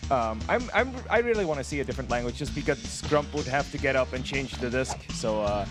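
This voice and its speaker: A man's voice: mid-range voice, animated, a little fast, over music. A man hosting a live speedrun marathon stream, giving announcements in a friendly, teasing way. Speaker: event host.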